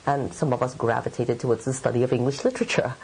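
A woman's voice: very deep voice, fairly even intonation, quick pace, darkish tone, husky, rough recording. A middle-aged woman writer from Hong Kong, answering interview questions in a thoughtful, meandering way with many fillers. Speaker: writer and editor.

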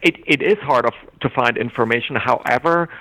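A man whose first language is German, a literature scholar giving thoughtful, halting interview answers with frequent restarts. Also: mid-range voice, sharp tone, poor audio. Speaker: literature scholar and anthology editor.

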